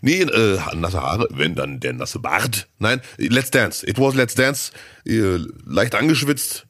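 A man with a slightly hoarse, scratchy voice from a cold, co-hosting a casual podcast and telling rambling stories full of fillers. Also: fairly low pitch, animated, slow, fairly bright tone, no room sound, studio quality. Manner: chatty, storytelling, rambling with fillers. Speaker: podcast co-host.

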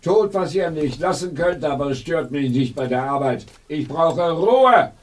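A man's voice: a little high, lively intonation, unhurried. A man playing a grumpy, easily irritated professor in an audio drama, clipped and brusque. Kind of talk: German audio drama acting.

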